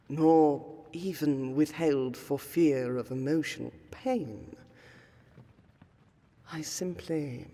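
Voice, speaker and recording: A woman performs a refined vampire noblewoman for a fantasy audio roleplay. Her delivery is very slow and soft-edged, with a husky veil over ornate, old-fashioned phrasing. She carries a courteous melancholy, and her words ring in a long hall reverb. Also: low-pitched, exaggerated voice. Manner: formal, archaic, ornate diction, measured. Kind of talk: fantasy roleplay audio, scripted monologue to the listener.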